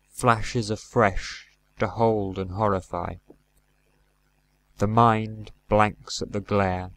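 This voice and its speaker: Slow, low-pitched man reciting poetry. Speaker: poetry reader.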